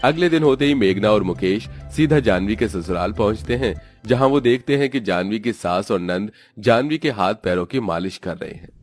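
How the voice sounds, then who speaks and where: A man's voice: lowish voice, expressive, normal speed, hardly any pauses, darkish tone. A man narrating a scripted story as a storyteller. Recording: music under the voice. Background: background music.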